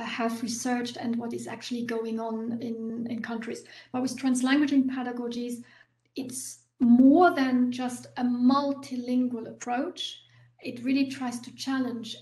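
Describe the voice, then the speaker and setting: A woman academic answers audience questions in a slightly higher voice. She explains patiently and restarts her phrases with many small fillers. The room around her is echoey. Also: dark tone. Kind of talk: university talk Q&A.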